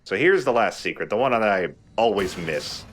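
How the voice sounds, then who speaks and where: Man, low voice, casual let's play host, explanatory, joking, a bit profane. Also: expressive, moderate pace, a bit bright. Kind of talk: casual game commentary.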